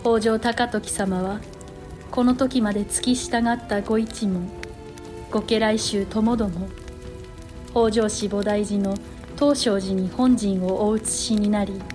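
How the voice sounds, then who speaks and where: A woman's voice: medium pitch, fairly even intonation, a little slow, over music, close to an everyday voice. A woman voicing a courtly noblewoman who narrates a period drama with polite, formal storytelling. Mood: solemn. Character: courtly noblewoman chronicling a war of old Japan.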